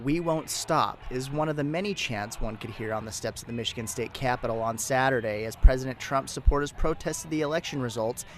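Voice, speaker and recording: A man's voice: medium pitch, a little fast, hardly any pauses, slight background noise. A man with an American accent reading scripted news narration as a television reporter.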